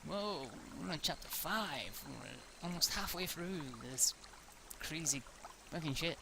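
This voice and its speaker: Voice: medium pitch, expressive, measured pace, gravelly, noisy background. An amateur reader narrating a visual novel aloud, stumbling and self-correcting, with casual chatty delivery. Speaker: amateur reader, video host. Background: music.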